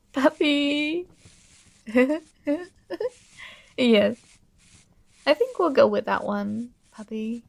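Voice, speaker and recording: A doting yet controlling fantasy princess, acted by a woman, speaks in a high, creaky voice at a very unhurried pace. She coaxes and gently gives orders as in an intimate ASMR roleplay. The sound is close and very dry. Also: lively intonation, dry recording, full character voice. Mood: playful, doting. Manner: softly coaxing, doting, gently commanding. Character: possessive, tender yandere dream princess with magic powers.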